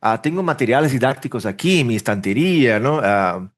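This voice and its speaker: A man from Brazil, a native Portuguese speaker, being interviewed in a relaxed way, thinking aloud with restarts and fillers. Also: medium pitch, a little fast. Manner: rambling, self-correcting, thinks aloud with fillers. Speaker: interpreter and language teacher, podcast guest. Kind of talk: casual interview conversation.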